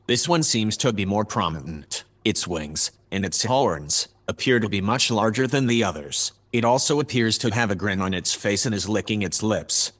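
An actor playing a composed analyst who reports events in a measured, formal way in a sci-fi audio drama. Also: male voice, on the low side, a bit bright. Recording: clear background noise and ambience.

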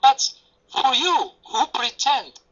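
A man's voice: very high-pitched, animated, takes it slowly, fairly bright tone. A man arguing his side in an online debate, earnest, often checking in with "okay?". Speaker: debate guest. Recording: lo-fi voice chat audio.

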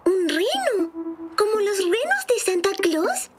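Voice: extremely high pitch, sing-song, takes it slowly, over loud music, heavily acted. A performer voicing a tiny, eager ladybug sidekick in a children's cartoon, childlike, chirpy and dramatic. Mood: curious. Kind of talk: dubbed children's cartoon acting.

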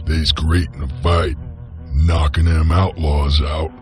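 Game bounty hunter: gruff cowboy drawl, extremely low, unhurried delivery. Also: male voice, flat delivery. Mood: musing. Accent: American Western drawl. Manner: slow, terse, menacing. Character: gruff, laconic male bounty hunter gunslinger of the Old West. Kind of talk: western video game acting. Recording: music behind the voice.

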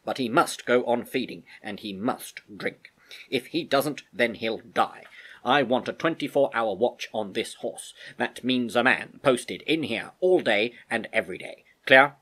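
Guy doing an army major from a story, firm but kind, clipped n measured, bit husky. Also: medium pitch, fairly bright tone. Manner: clipped, deliberate, commanding. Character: measured, authoritative army veterinary major, firm yet humane.

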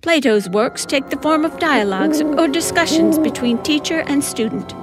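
A woman's voice: sits high, normal speed. A woman reading scripted comic narration with theatrical, wry emphasis. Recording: music behind the voice.

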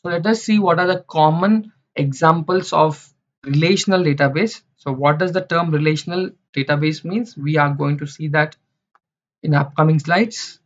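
A man's voice: on the high side, normal speed. Man, slide lecture, filler-heavy, restarts often, muffled lo-fi audio. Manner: repeats phrases, many fillers and restarts. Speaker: instructor. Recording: dull lo-fi sound.

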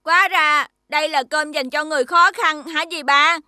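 A woman's voice: high voice, fairly even intonation, moderate pace, sharp tone, dry recording, studio quality. A woman voicing a small, cute magical creature in a children's cartoon, childlike and inquisitive. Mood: curious. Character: small, cute, curious blue-green magical creature friend.

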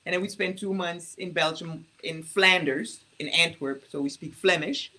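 Woman from Congo, native French speaker, bright, lively storyteller on a video call. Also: on the low side, normal speed. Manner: lively, laughs often, tells long stories. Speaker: interview guest, singer. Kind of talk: casual video call interview. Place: home room indoors.

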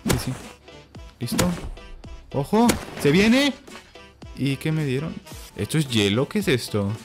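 A young man chatting excitedly while playing a game, often shouting in surprise and mixing in English slang. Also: mid-range voice, unhurried. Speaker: gaming YouTuber. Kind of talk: excitable gameplay chat. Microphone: saturated home mic. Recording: distorted mic with game music behind.

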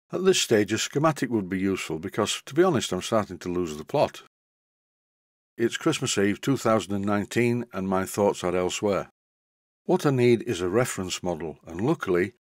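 A man's voice: lowish voice, no echo. An older man narrates a workshop tutorial in a scripted voice-over, with dry, self-deprecating humour. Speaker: model engineering tutor.

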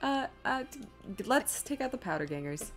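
A woman's voice: high voice, big pitch swings, moderate pace, faint music behind. A woman streaming a game, giving casual, self-deprecating commentary as she rambles and thinks aloud. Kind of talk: casual live gameplay commentary, reading chat aloud.

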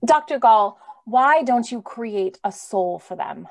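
A woman's voice: fairly high pitch, lively intonation, slow. A woman acting an earnest, idealistic young lady crusader in an old-time radio drama. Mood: troubled, questioning.

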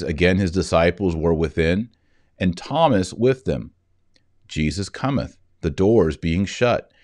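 Male reader, 40s, low-pitched and unhurried, solemn scripture delivery, dry close-miked sound. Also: darkish tone, no room sound. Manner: measured, deliberate phrasing. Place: indoor room, seated at a desk.

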